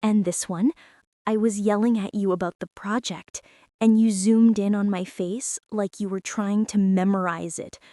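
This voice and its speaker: A woman playing a young former bully in an intimate ASMR roleplay, speaking in a hushed, hesitant way that often slips toward a whisper. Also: mid-range voice, steady tone, moderate pace, no echo, studio quality, natural voice. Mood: disbelieving, softening. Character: young female former bully, stunned, teasing, softening into tenderness.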